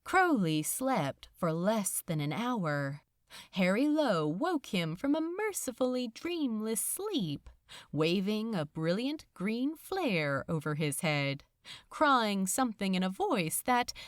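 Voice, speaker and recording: A woman reading literary fiction aloud as scripted audiobook narration, in a measured, dramatic storytelling manner. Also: medium pitch, dry recording, clean studio sound.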